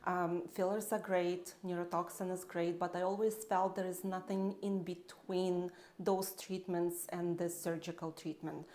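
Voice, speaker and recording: Measured low-voiced woman. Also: level tone, darkish tone, a little hiss or hum. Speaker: aesthetic practitioner. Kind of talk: testimonial interview answers.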